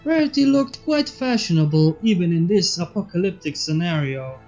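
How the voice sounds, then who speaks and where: A woman's voice: lowish voice, lively intonation, normal speed, mellow. A woman reading fan fiction aloud as a scripted story narrator. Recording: slightly muffled, faint music behind. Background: background music.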